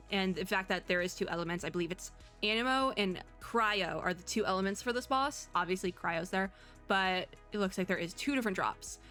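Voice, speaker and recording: A woman's voice: mid-range voice, a bit bright. A young woman gives casual, slangy reaction commentary as a streamer, rambling and thinking aloud. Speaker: streamer reacting to game previews. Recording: music bed under the voice. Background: background music.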